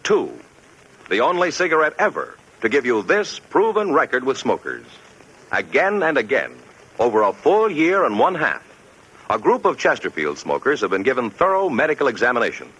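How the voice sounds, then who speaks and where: A man's voice: medium pitch, huge pitch swings, moderate pace, a bit bright, slightly rough, highs cut off. A man announcing a 1950s radio commercial in an emphatic, declamatory style. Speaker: cigarette advertisement announcer.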